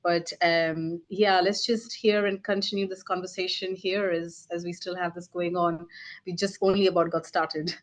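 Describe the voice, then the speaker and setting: A woman with a slightly low voice hosts an online webinar over a video call. She thanks her speakers and poses broad, musing questions to the group, thinking out loud as she goes. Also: flat delivery, normal speed, poor audio. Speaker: host. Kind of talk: moderating a webinar.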